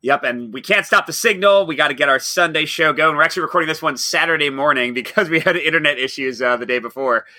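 A man's voice: a little high, quick pace, hardly any pauses, pushed and bright, no room sound. A man co-hosting a casual podcast, upbeat and teasing, laughing often. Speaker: podcast co-host.

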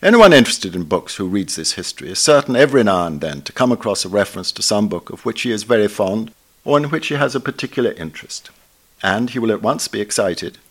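An elderly man with a fairly low voice and an upper-class English accent reads a prepared review aloud. His delivery is formal and erudite, with deliberate emphasis on key phrases. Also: animated, slightly rough. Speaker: book reviewer. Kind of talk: scripted book review read aloud.

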